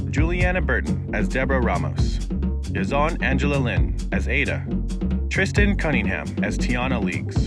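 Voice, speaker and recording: Man reading credits over loud music. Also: mid-range voice. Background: loud music with drums.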